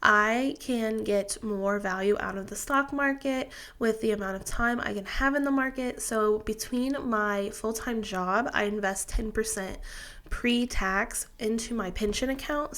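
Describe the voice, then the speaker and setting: A woman's voice: medium pitch, unhurried, a bit bright. A woman, sounding congested from a sinus infection, explaining her finances in a chatty, methodical way to camera. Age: 20s. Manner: chatty, methodical, with fillers and restarts. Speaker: personal finance vlogger. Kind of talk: casual explanatory talk to camera.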